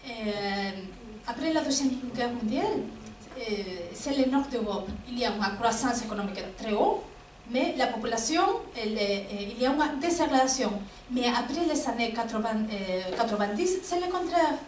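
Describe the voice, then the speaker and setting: Woman professor answering questions, high-ish voice, hesitant, lots of fillers; recorded from afar in a reverberant auditorium. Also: expressive, moderate pace. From Spain. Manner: hesitant, many fillers, frequent tag "non?". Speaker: guest speaker, professor. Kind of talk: lecture Q&A answers. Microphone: distant. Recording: echoey hall, distant mic, faint noise.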